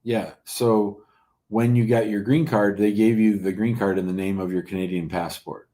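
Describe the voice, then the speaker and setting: A man with a low-pitched voice hosts a call-in advice show. He speaks conversationally and informally, probing for detail and telling callers bluntly where they stand. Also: fairly even intonation, moderate pace, dark tone. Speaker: host taking callers' immigration questions.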